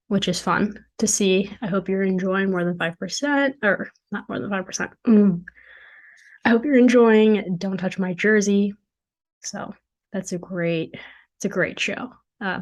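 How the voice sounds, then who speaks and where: A young woman with a hoarse voice, hosting her own podcast in casual, chatty solo talk from her dorm room, with self-deprecating jokes. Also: mid-range voice. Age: young adult. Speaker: podcast host and founder. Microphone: desk mic at a computer.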